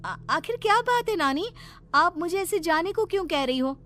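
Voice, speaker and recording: A woman's voice: very high-pitched, animated, normal speed, fairly bright tone, slight background noise, pitched above the performer's own voice. A woman voicing a young granddaughter in a cartoon story, emotional, pleading and full of questions. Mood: puzzled pleading. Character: frightened, insistent young granddaughter, pleading and curious.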